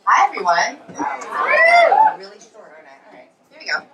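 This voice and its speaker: A woman's voice: extremely high pitch, wildly swooping pitch, normal speed, creaky, heavy background noise. A woman in her forties doing a stand-up comedy set in a club, telling punchy self-deprecating stories. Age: 40s. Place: comedy club in New York. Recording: roomy club sound, from far off.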